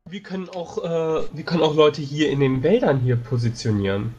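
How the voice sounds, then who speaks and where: A man playing a tabletop game, discussing tactics casually in a halting, hesitant way. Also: a little high, darkish tone, husky, highs cut off, faint music behind. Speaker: player.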